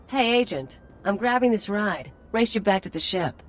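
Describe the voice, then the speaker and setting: An actress playing a brash, teasing rogue in a video game, flippant and swaggering. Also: female voice, mid-range voice, animated, normal speed, background noise, close to an everyday voice. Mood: teasing, cocky.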